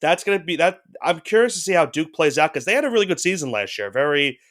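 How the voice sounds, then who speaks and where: A man's voice: on the high side, very animated, quick pace, fairly bright tone. Congested man chatting about college football. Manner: rambling, with restarts and hedges. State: congested head cold. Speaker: podcast co-host. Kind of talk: casual sports talk.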